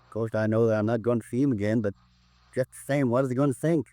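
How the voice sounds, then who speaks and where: A man's voice: mid-range voice, fast, mellow, no room sound, lightly acted. Man acting a weary old American confessing robber. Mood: anxious, resigned. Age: 70s. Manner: rambling, wistful, clipped folksy sentences. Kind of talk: 1950s American radio drama acting. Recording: 1950s radio recording.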